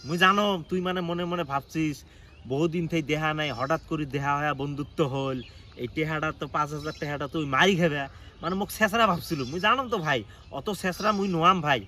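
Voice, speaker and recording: A man's voice: a little high, bright, forceful tone. A man acting a shameless, pushy moocher friend in a comedy sketch, chummy and insistent, with a northern Bengali regional dialect. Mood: indignant, pushy. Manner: insistent, chummy, fast-talking. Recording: faint outdoor background noise with birdsong.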